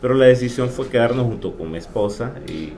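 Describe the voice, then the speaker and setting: A man, an insurance agent speaking as a guest, earnest and hesitant with many pauses. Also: medium pitch, animated, warm tone. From Honduras. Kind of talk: casual interview. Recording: faint background noise.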